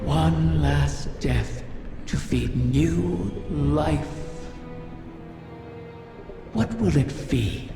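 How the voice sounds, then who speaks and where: Ancient sly game dragon, gravelly, savoring every word super slow, big echo, loud music. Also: male voice, on the high side, full character voice. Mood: ominous, savoring. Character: ancient wish-granting dragon creature, sly and ominous. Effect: cavernous reverb on the voice. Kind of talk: video game voice acting. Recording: long cavernous reverb under loud music.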